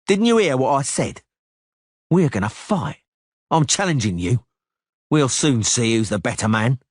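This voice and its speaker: A man voicing a brash, hot-headed young thug who jeers and taunts loudly. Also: medium pitch, expressive, unhurried, no echo, studio quality, voice pushed up. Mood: taunting. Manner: jeering, loud, challenging. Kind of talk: audiobook character voice.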